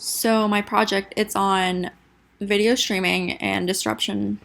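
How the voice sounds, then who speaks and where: A woman's voice: mid-range voice, level tone, takes it slowly, gravelly, a bit bright. A sick young woman with a nasal, stuffy voice, reading her class presentation from a script and stumbling now and then. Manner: reads a prepared script with small stumbles and restarts. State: sick, congested. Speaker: student presenter.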